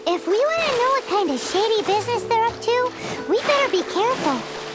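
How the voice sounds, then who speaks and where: A woman's voice: very high voice, sing-song, brisk. A woman voicing a small, cheeky, childlike fairy companion in a video game, blunt and exclamatory. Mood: curious. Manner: refers to self in third person, exclamatory. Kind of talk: cartoonish video game acting. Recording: loud background game music.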